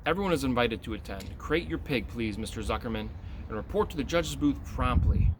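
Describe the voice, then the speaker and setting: A man voicing a formal fairground loudspeaker announcer in a storybook, with a declamatory manner. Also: medium pitch, animated, brisk, background noise, lightly acted.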